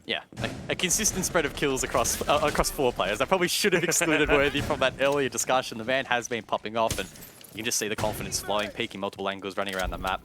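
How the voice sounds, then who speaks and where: A man's voice: medium pitch, fast talker, noisy background. A man giving live analytical color commentary on an esports match, breaking down plays with occasional fillers. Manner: analytical, thinks aloud with fillers.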